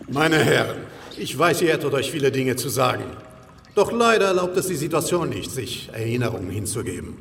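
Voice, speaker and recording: An actor playing a proud, shrewd and wealthy merchant banker in a German historical radio drama, formal and pointed, courteous with an edge of menace. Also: male voice, medium pitch, very animated, a little fast, gravelly, fairly bright tone. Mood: cold, commanding. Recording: echoing hall, faint ambient noise.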